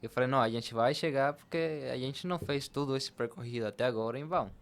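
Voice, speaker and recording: A man's voice: mid-range voice, quick pace. Young man. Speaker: podcast guest. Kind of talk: conversational personal storytelling.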